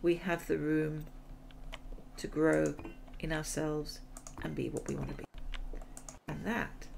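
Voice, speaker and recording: Slow, gravelly-voiced woman gamer chatting. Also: deep voice, flat delivery, noisy background. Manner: rambling, chatty, laughs often. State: losing her voice. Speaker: gaming channel host. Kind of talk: casual chat over gameplay.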